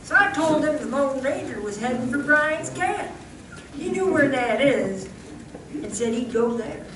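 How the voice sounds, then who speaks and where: A woman's voice: high voice, lively intonation, unhurried. A woman playing a folksy, hearty male ranch hand with a western drawl in an old-time radio show. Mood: hearty, welcoming. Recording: echoey room from far off, faint noise.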